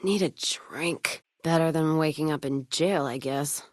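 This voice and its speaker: A tough, hard-drinking American caravan woman from a frontier video game. Her voice sits low, recorded close and dry. She talks in folksy, sardonic slang and swears freely. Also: measured pace, dry recording. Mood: groggy. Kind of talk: video game character voice lines.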